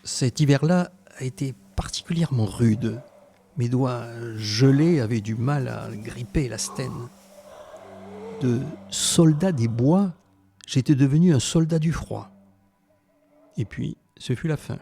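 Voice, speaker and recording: A man playing a former French Resistance fighter who tells his memories in a measured, tender and grave audio drama narration. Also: mid-range voice, creaky, dark tone, slight background noise. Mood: reflective, grave. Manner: reflective, measured storytelling. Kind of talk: scripted first-person narration in an audio drama.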